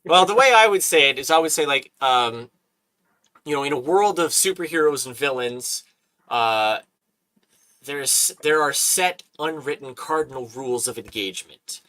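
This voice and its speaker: Bright-voiced man hosting a casual chat. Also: a little high, normal speed, poor audio. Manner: animated, laughs often, repeats words. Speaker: host.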